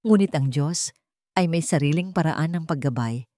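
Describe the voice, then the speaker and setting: A woman voicing a calm, resolute older mother who speaks steadily and firmly, in a dramatized storybook reading. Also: low-pitched, no echo, clean studio sound, lightly acted. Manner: steady, firm and measured.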